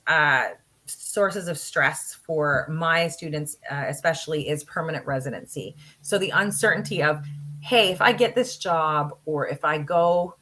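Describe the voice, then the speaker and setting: Woman instructor, earnest conference speaker, bright and somewhat low-pitched, practical, many fillers. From Canada. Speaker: college instructor. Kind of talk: conference presentation.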